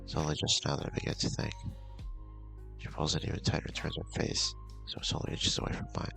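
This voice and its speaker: A man doing a casual let's-play, reading a visual novel aloud in a halting, mumbling way with asides, over game music. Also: very deep voice, wildly swooping pitch, creaky, sharp tone. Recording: music playing behind the voice.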